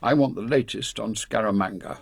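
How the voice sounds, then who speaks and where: An actor playing an authoritative older British spy chief, brisk and ruthless, with clipped, formal delivery in a radio drama. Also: male voice, lowish voice, gravelly, slightly rough, dry recording, studio quality. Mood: brisk, commanding.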